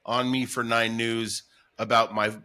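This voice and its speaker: A man's voice: medium pitch, level tone, measured pace, pushed and bright, smooth. A man hosting a casual podcast chat, with the ease of a voiceover performer.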